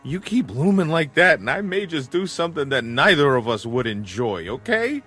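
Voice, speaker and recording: Whiny, animated small-time crook. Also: male voice, fairly high pitch, normal speed, slightly rough, soft background music, exaggerated voice. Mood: pleading. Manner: wheedling, nervous, self-pitying. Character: hapless, whiny, hard-drinking small-time male crook. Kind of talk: audio drama acting.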